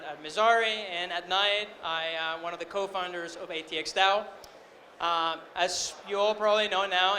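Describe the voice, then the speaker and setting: High, bright-voiced male panelist. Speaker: panel speaker. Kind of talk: introduction to an audience. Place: live stage event. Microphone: stage microphone. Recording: faint background noise, live event sound.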